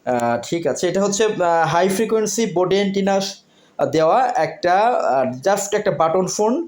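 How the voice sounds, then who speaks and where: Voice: mid-range voice, expressive, moderate pace, darkish tone. A Bangladeshi interviewer asking questions in a product promo, repeating and summing up details to confirm them. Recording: echoey room.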